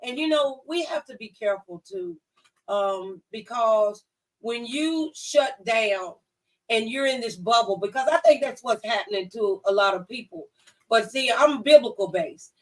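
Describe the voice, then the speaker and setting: Distant-miked, high-voiced woman talk host. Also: lively intonation, measured pace. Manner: folksy, emphatic, repeats phrases for effect. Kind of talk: conversational opinion talk.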